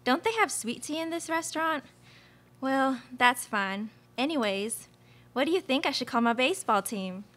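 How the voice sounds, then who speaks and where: Woman as a sugary, high-voiced belle. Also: expressive, normal speed, a bit bright. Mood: sugary, gushing. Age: young adult. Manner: sugary, chatty, oblivious. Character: gushing, baby-crazy sweet Southern belle Peaches. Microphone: stage microphone on stand.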